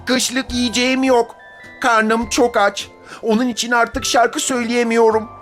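A humble cartoon cicada, with an extremely high little voice. It talks politely and sincerely in a children's fairy tale, with faint music behind. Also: male voice, flat delivery, measured pace, a bit bright. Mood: sad, embarrassed. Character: humble, grateful singing cicada, polite and sheepish.

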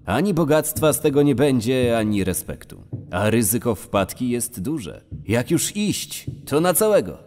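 A man acts out a seasoned criminal in an audio drama, conspiratorial and coaxing. His mid-pitched voice rings with long reverb over music, pressing an ambitious case. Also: very animated, a little slow, a little mellow. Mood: coaxing, sly.

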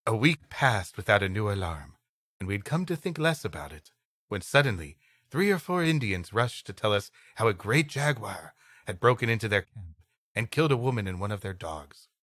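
A man's voice: lowish voice, very animated, normal speed, a bit bright, dry recording. A man co-hosting a comedy podcast, moving between reading a story aloud and casual, joking banter.

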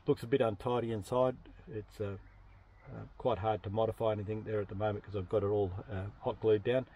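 A middle-aged Australian man vlogging from the cab of a parked truck. His voice is low and relaxed, and he explains things plainly, pausing now and then as he finds his words. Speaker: off-road camping vlogger. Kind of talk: casual explanatory vlog.

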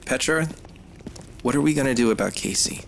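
An actor playing a curious, excitable friend on an outing, in naturalistic audio drama dialogue. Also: mid-range voice, brisk, vocal fry, slight background noise, natural voice. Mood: casual. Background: music.

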